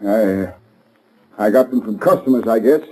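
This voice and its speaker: An actor playing a frightened, protective grocer and father in a halting, pleading 1930s radio style. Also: on the low side, dark tone, close to an everyday voice, low-quality recording. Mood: reluctant, evasive. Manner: halting, pleading, working-class speech. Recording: old 1930s radio broadcast, background voices.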